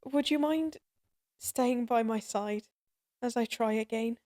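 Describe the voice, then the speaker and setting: Casting: forsaken, guilt-ridden goddess played by a woman, higher voice, unhurried halting delivery, emotional audio-drama acting. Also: darkish tone. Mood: lonely pleading. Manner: halting, emotional, earnest pleading.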